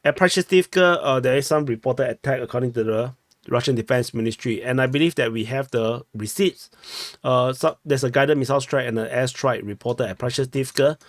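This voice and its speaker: A young Singaporean man with a Singaporean English accent, talking through war maps in a loose, chatty way. He corrects himself mid-sentence and keeps asking "no?". His voice sits at a middling pitch and sounds close and dry, recorded on a desk webcam in a small room. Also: brisk, no room sound. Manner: chatty, self-correcting, ends with "no?". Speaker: war map analyst. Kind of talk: casual map commentary.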